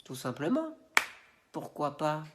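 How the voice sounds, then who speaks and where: A man's voice: fairly high pitch, wildly swooping pitch, slow pace, very noisy. A man with a head cold and a stuffy nose, ranting casually to camera with slangy, rambling indignation and plenty of fillers. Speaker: Instagram video creator. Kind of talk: casual opinion rant to camera.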